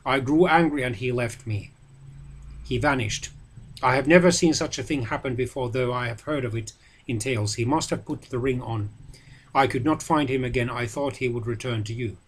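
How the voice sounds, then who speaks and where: A man's voice: medium pitch, moderate pace, slight background noise, natural voice, rough recording. A man voicing a proud, desperate grown warrior, declaiming with an impassioned, raised voice. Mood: guarded. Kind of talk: dramatic reading of a novel.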